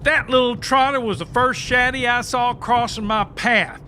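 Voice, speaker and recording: High-pitched, crude, blustering sidekick. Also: male voice, steady tone, moderate pace, noisy background. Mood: indignant. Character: cocky, crude, hot-tempered blind country sidekick, male. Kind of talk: audiobook drama acting.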